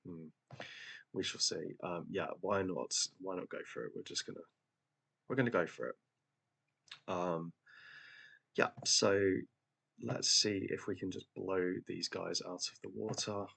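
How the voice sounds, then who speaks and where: A low-voiced man talks through his football management game in a relaxed, rambling way, full of ums and little jokes at his own expense. His voice is deep and gravelly, a touch husky, and stays fairly flat in pitch. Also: a little slow, long pauses. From England. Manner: chatty, hesitant, many ums, self-deprecating. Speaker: gaming channel host. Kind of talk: casual game commentary.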